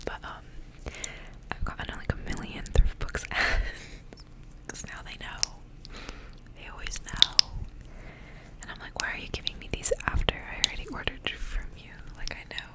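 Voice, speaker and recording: A young woman whispering breathily in a soft, informal ASMR style, chatting casually and sometimes slowly repeating words. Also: low-quality recording. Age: young adult. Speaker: ASMR creator. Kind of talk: ASMR intro and casual life update.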